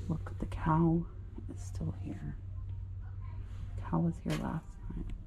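A woman quietly narrating her shopping trip for a reseller vlog, half whispering as she browses an antique mall. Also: sits low, level tone, slow pace, vocal fry, low-quality recording. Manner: hushed, half-whispered remarks while browsing. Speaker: reseller vlogger. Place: indoors in a large antique mall. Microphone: body-worn action camera.

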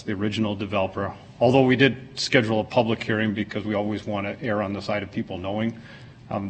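A man's voice: on the low side, a little hiss or hum, low-quality recording. A man giving an informal, plain-spoken staff presentation to a council, explaining details as a city planner. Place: council meeting room.

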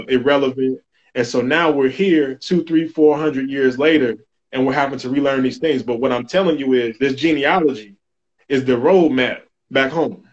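Man in his 40s lecturing calmly over a webcam, kinda dull lo-fi audio. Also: medium pitch. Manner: steady, instructive, keeps adding "okay?". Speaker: genealogy guest. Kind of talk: explaining in an interview. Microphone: webcam on video call.

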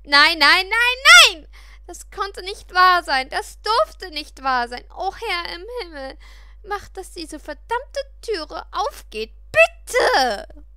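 Woman reading as a high-voiced, earnest teenage girl. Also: big pitch swings, takes it slowly, bright, forceful tone, exaggerated voice. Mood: desperate pleading. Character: polite, flustered teenage girl, self-conscious and dramatic. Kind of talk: audiobook character voice.

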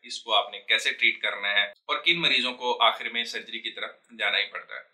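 Doctor guy, 30s, very bright sharp tone, steady calm teaching style. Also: on the low side, rough recording. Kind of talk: health explainer to camera. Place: small indoor studio.

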